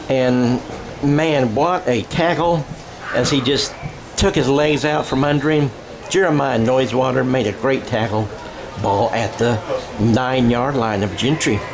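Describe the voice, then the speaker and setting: American guy doing football play by play, kinda halting and corrects himself, crowd going behind him. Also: mid-range voice, rough recording. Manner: conversational, halting, self-correcting. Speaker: play-by-play sports commentator. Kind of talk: live radio-style American football commentary. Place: outdoor high school football game.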